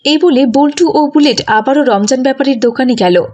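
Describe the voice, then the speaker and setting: A woman narrates a children's cartoon story from a script. Her voice is a little on the high side. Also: fairly quick, dark tone, lo-fi sound.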